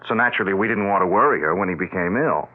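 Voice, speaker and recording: An actor playing a skeptical, protective adult son in a 1970s radio drama, questioning and blunt. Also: male voice, low-pitched, animated, rapid-fire. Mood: puzzled, skeptical. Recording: tinny, phone-like vintage radio broadcast.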